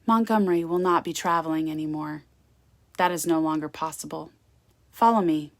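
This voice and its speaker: Gothic audiobook role: cold, formal society mother, lowish voice, close dry sound, acted by a female reader. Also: moderate pace, dry recording. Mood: grave, curt. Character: icy, formal society mother guarding her grand manor. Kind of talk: gothic romance storybook reading.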